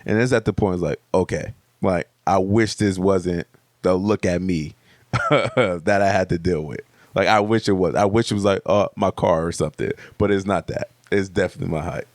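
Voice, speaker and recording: A man co-hosting a podcast, casual and animated, quick to laugh and pose questions. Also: low voice, no room sound, clean studio sound. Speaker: podcast co-host. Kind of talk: casual chat.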